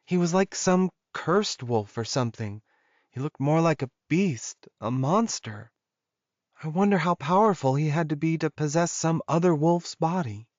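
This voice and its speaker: A male narrator plays a young woman in a paranormal romance audiobook. The pitch is lifted well above his own. She sounds curious, uneasy and quick to apologize, and the recording is close and dry. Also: lively intonation, darkish tone, dry recording, dull audio, lightly acted. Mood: anxious, curious.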